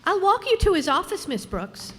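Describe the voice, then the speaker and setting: A woman's voice: very high voice, sing-song, normal speed, fairly bright tone, full character voice. A woman playing an eager, polite teenage schoolgirl with an earnest, girlish manner in an old-time radio comedy. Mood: chatty. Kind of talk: old-time radio sitcom acting before an audience. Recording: echoey hall, amateur stage performance.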